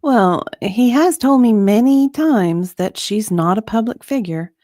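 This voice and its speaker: A woman's voice: on the high side, lively intonation, warm tone, no room sound, clean studio sound. Woman reading as a hesitant female deposition witness. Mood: puzzled, defensive. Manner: halting, hesitant, trailing off. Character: hesitant, forgetful female witness being questioned in a legal deposition. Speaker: reader in a dramatic reading. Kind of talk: dramatic reading of deposition testimony.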